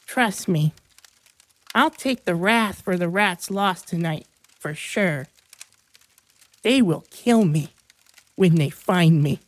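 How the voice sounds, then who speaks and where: A woman plays a scrawny teenage boy in an audiobook story, pleading and full of self-pity. He speaks slowly and dramatically, in a very dry recording. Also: on the low side, no room sound. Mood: bitter, resigned. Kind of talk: audiobook character acting.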